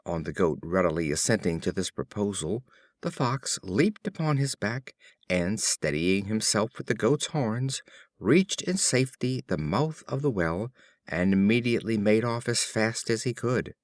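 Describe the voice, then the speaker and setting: A man reading fables as an audiobook, expressive, deliberate and theatrical in his storytelling. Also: on the low side, no room sound, studio quality. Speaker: audiobook reader.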